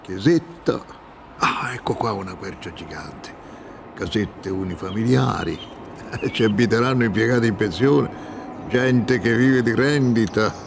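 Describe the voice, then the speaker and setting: Chatty travelling salesman, creaky-voiced man. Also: on the low side, background noise. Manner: musing, chuckling, exclamatory. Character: chatty, easy-going male travelling salesman, amused and womanising. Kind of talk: Italian radio drama acting. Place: theatre stage, radio drama recording.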